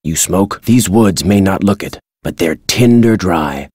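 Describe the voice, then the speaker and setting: An older American man voicing a crotchety retired birdwatcher in a video game, folksy and gruff with a husky, dry delivery. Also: deep voice, expressive, measured pace, darkish tone, no echo, exaggerated voice. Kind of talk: video game character dialogue.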